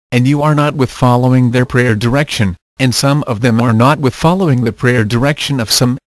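Man reading a measured, dry voice-over. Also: medium pitch, no echo. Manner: measured reading with quoted scripture. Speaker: narrator. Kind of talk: scripted narration over slides.

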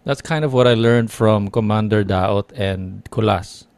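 A man's voice: lowish voice, unhurried, gravelly, darkish tone, no room sound, studio quality. A man from the Philippines whose first language is Tagalog, co-hosting a casual podcast over a video call, thinking aloud with many restarts. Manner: reflective, circling back with restarts. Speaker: podcast co-host. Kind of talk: casual chat. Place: small room with dark curtain, indoors. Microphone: headset and studio mic on video call.

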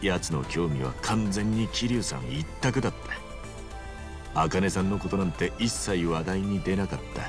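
An actor playing a rough, earnest, big-hearted hero in his forties, speaking bluntly in casual street Japanese in a video game cutscene. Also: deep voice, normal speed, music in the background. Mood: serious, intent.

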